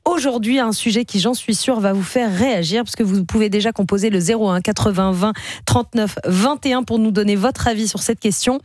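A woman hosting a radio chat show, brisk and quick to laugh, in a studio. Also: mid-range voice, a little mellow, dry recording. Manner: brisk, laughs often, announcer delivery. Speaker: radio host.

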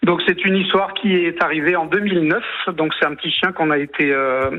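A man's voice: on the high side, fairly even intonation, a little fast. A man in his fifties from Martinique and French Guiana, calling in to a radio show and talking earnestly and tenderly. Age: 50s. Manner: earnest, tender, rambling with restarts. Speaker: radio caller. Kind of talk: phone-in chat. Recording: tinny phone line.

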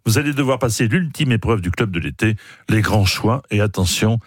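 A man's voice: on the low side, a little fast, slight background noise. A man hosting a radio talk show, running a game with showman flair. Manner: showman, lively presenter. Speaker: radio host. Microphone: studio microphone.

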